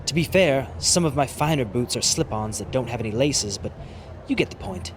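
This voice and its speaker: A man's voice: medium pitch, lively intonation, normal speed, a little hiss or hum, close to an everyday voice. Man playing a pompous, vain male model wrestler. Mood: haughty, disdainful. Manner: pompous, haughty. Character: vain, snobbish male fashion model wrestler, self-styled prince. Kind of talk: video game cutscene acting.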